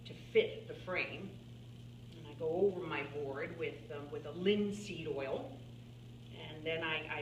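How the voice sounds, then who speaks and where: A woman playing an elderly, folksy farm-woman painter in a theatrical monologue, halting and musing, plainspoken and wry as she reminisces. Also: fairly low pitch, sharp tone. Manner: halting, musing, with small chuckles and pauses. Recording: roomy, distant mic, faint background noise.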